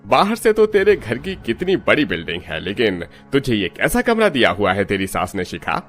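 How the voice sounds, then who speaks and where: Concerned, wounded father played by a man, low and very animated, cartoon drama. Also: normal speed, faint music behind. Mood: concerned, offended. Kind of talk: cartoon story dialogue.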